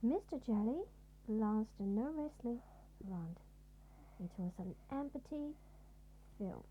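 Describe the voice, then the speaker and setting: Slow, high-voiced woman reading a bedtime story. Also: expressive, husky, dull audio. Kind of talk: children's storybook narration.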